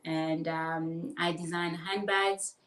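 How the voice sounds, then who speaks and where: A woman from the Democratic Republic of Congo, answering interview questions casually and haltingly, with many fillers. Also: low-pitched, flat delivery, a bit bright. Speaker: guest, fashion business owner and designer. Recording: roomy echo, distant mic.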